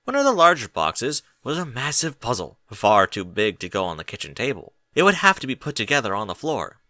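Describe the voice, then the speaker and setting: A man reading a story aloud as an expressive audiobook narrator. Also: mid-range voice, a little fast, no room sound, clean studio sound.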